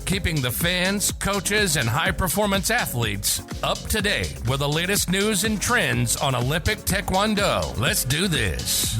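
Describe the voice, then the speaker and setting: A man reading a scripted, energetic podcast intro as announcer. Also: on the high side. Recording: voice over background music.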